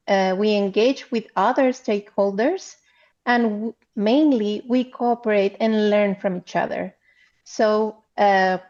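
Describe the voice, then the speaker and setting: A woman from Guatemala with a Guatemalan Spanish accent, presenting remotely at a conference in a halting, explanatory way. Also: mid-range voice, a little mellow. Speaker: council chair speaking as panelist. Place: remote, on a video call. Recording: slightly dull video-call audio.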